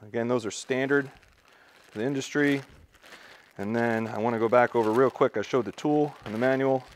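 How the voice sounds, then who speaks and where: A man presenting a product unboxing in a relaxed, practical way, thinking aloud with fillers, recorded indoors in a large concrete-floored space. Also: medium pitch, slight background noise. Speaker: tool store presenter. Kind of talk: casual product unboxing walkthrough.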